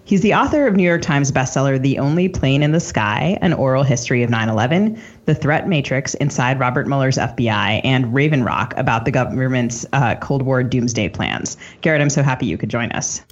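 A woman's voice: extremely low pitch, animated. Playful woman co-host, slightly dull audio. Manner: playful, quick to banter. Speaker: podcast co-host and novelist. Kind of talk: casual podcast banter and guest introductions.

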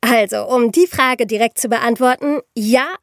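A woman's voice: fairly high pitch, measured pace, no echo. A woman co-hosts a podcast. She talks in a casual, chatty style, recorded very dry with no room sound. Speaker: podcast co-host.

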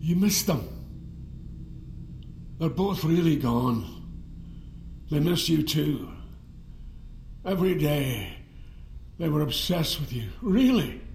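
Halting, sorrowful old man, roomy sound. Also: a little high, very animated, vocal fry, a little mellow, a little hiss or hum, exaggerated voice. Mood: bitter grief. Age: older. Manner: hesitant, halting, apologetic. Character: confused, sorrowful elderly man, long-bereaved twin brother. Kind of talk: audio fiction drama acting. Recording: roomy sound with music behind.

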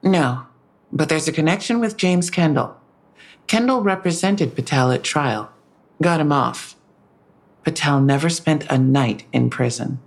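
An actress playing a police detective in an audio drama, matter-of-fact and a little wry. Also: female voice, low voice, a little slow. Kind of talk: full-cast audio drama acting.

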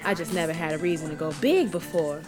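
An actress playing a brash, persuasive American hustler in an audio buddy comedy, with slangy, swaggering, teasing talk. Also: female voice, low voice, lively intonation, fast talker, over music. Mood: persuasive, cocky. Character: brash, persuasive woman hustler urging friend into crime.

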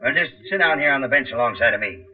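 A man's voice: mid-range voice, lively intonation, fast talker, natural voice. An actor playing a middle-aged, seasoned American tunnel worker and father, plainspoken and patient, in 1940s radio drama style. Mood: explaining. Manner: plainspoken, colloquial explaining. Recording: tinny, band-limited 1940s radio recording.

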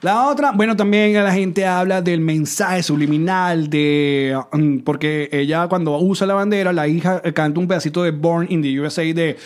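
A man's voice: on the high side, moderate pace, hardly any pauses. Joking man on a podcast. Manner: joking, laughs often. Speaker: podcast co-host. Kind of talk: casual chat.

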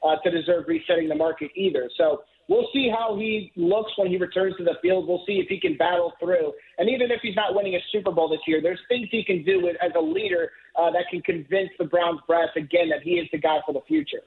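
A man's voice: high-pitched, a little fast, hardly any pauses. A man calling in as a football writer, talking analytically and fluently, now and then repeating a word as he starts a thought. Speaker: NFL writer guest. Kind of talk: phone-in radio interview. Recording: tinny phone line.

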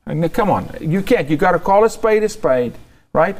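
A man who is a guest commentator, speaking emphatically and quickly. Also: sits high, lively intonation, a little mellow. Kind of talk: political talk show.

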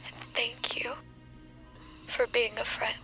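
An actress playing a resolute young woman giving an intimate farewell monologue, soft and sometimes whispery. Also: a little high, little pitch movement, slow, exaggerated voice, low-quality recording. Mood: tender, resigned. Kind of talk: intimate recorded farewell monologue, audio drama. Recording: music behind the voice.

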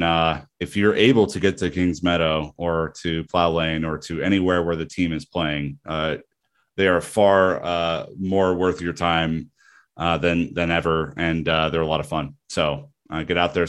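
A man hosting a football podcast, talking in a relaxed, joking way with plenty of fillers. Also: deep voice, normal speed, clear, resonant tone. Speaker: podcast host. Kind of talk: casual podcast chat.

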